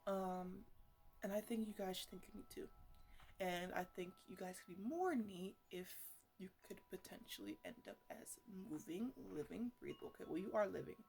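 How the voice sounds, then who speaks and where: A woman plays an eccentric lady botanist in a casual ASMR roleplay. She sits at a middle pitch and chatters in halting, repetitive bursts. She sounds defensive and fussy, as if explaining herself to the plants she experiments on. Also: lively intonation, creaky, darkish tone, faint music behind. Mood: earnest, enthusiastic. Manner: rambling, halting, repeats herself.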